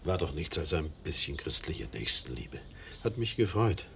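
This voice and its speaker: An actor playing a kindly, pious American neighbour who fusses chummily over a sick friend in a radio drama, with a gravelly voice. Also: male voice, rumbling low, very animated, moderate pace, a bit bright, a little hiss or hum, heavily acted. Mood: soothing, caring. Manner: solicitous, chummy.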